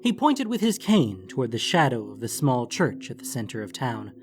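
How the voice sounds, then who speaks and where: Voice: mid-range voice, sing-song, normal speed. A narrator reading a scripted fantasy story for an audiobook.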